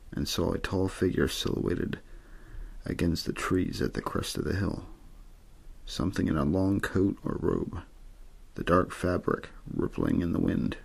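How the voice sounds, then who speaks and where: A man reading a story aloud in ASMR bedtime style, with an understated, untheatrical delivery and a gravelly, husky voice. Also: sits low, darkish tone. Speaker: amateur audiobook reader.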